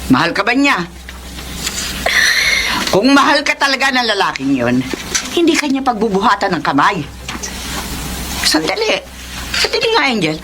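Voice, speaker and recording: A woman's voice: a little high, huge pitch swings, normal speed, pauses often, noisy background. A woman playing a protective, indignant mother in a Filipino radio drama, scolding and emphatic. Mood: stern, concerned.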